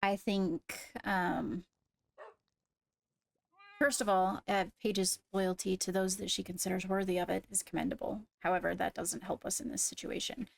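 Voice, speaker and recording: A woman's voice: mid-range voice, little pitch movement, gravelly. A woman playing a diplomatic court leader in improvised game roleplay, speaking formally and choosing words with care. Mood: cautious, deliberate. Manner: deliberate, formal, careful phrasing.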